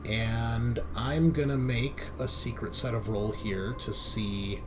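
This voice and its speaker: A man's voice: mid-range voice, steady tone, slow pace, noisy background. A man narrating a tabletop game as game master, conversational and thinking aloud as he goes.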